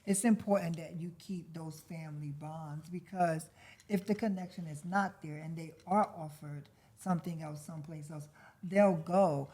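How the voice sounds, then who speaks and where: A woman co-hosting a podcast, speaking in a deliberate, reflective way and posing rhetorical questions. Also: deep voice, dark tone. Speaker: podcast co-host. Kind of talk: casual chat.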